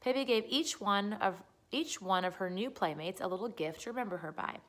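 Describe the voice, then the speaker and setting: A woman narrates a children's book. Her voice is of middle pitch. She reads aloud in the style of a storyteller. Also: animated, a little fast.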